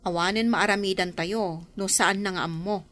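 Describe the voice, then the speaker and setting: A woman's voice: mid-range voice, steady tone, moderate pace, fairly bright tone, close to an everyday voice. A woman with a Filipino accent playing an exasperated, scolding middle-aged aunt in a radio drama, complaining emphatically. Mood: resigned, scolding.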